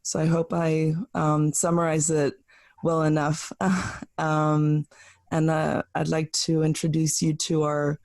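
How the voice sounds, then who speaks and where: A young woman from Switzerland co-hosts a podcast in an informal, searching way. She takes her time, with vocal fry and a rather flat pitch. The sound is close and unscripted. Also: takes it slowly, no room sound. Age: 20s. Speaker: podcast co-host. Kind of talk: casual podcast introduction.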